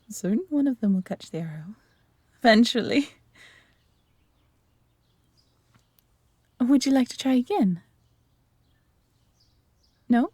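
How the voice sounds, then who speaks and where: Breathy English elf huntress, acted by a woman. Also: on the high side, dry recording, studio quality. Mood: amused, teasing. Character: friendly adventurous female elf archer and hunter. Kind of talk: scripted roleplay audio drama addressed to the listener.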